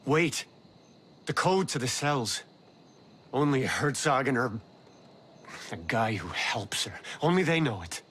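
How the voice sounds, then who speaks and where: An actor playing a shaken, anxious former prisoner in a video game cutscene, talking urgently. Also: male voice, mid-range voice, lively intonation, slow pace, pauses often, a bit bright, slight background noise. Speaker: released prisoner.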